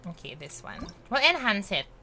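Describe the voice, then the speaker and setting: A woman's voice: mid-range voice, very animated, moderate pace, pushed and bright, slight background noise. A woman with a Filipino accent streaming a game, chatting playfully in a mix of English and Filipino and teasing herself. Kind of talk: casual livestream chat while gaming.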